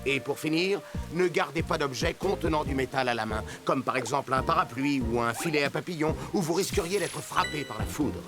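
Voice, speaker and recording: A man voicing a friendly, protective rescue vehicle robot in a French-dubbed preschool cartoon, explaining things earnestly and clearly. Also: a little high, animated, fairly quick, over loud music, natural voice. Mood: instructive, reassuring. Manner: earnest, clearly explaining safety rules to children.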